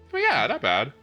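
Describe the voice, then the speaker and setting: A man chatting casually as he streams a video game, often leaping into high, squeaky exclamations. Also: pushed and bright. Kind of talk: casual gaming stream chat.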